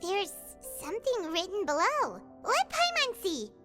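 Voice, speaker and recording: A woman's voice: very high-pitched, huge pitch swings, a little slow, music in the background, exaggerated voice. A woman voicing a tiny, chatty fairy-like companion in video game dialogue, who talks about herself in the third person. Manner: refers to herself in the third person, chirpy and curious.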